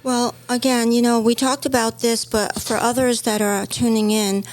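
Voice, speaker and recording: A woman's voice: fairly high pitch, little pitch movement, moderate pace, a little hiss or hum. A middle-aged woman from Hong Kong, a native Chinese speaker, co-hosting a casual podcast chat as a stylist and telling stories from her past. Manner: storytelling, warm reminiscing.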